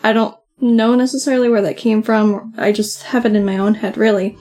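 A soft-voiced woman co-hosting a podcast, talking casually and often saying "like". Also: a little high, steady tone, fairly quick. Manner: chatty, many "like" fillers. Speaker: podcast co-host. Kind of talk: casual conversation.

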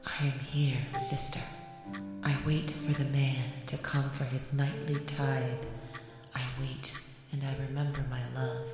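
A woman voicing a sorrowful, persecuted accused witch, hushed and chanting, in an incantatory audio drama monologue. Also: a bass voice, level tone, a little slow, heavy reverb, long reverb tail. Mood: mournful, resolute. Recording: music bed behind voice.

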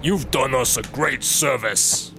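A man's voice: medium pitch, takes it slowly, creaky, over music. A man playing a polite, wheedling synagogue conspirator in a comic audio drama, speaking in a courteous, insinuating way. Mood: coaxing.

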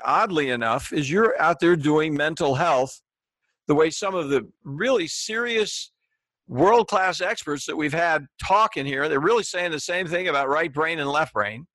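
A man's voice: on the high side, fairly bright tone, dry recording, rough recording. A man hosting a podcast interview, talking casually with lots of restarts and asides, then closing the show. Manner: rambling, with frequent restarts. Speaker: podcast host. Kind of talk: casual interview chat, then scripted outro.

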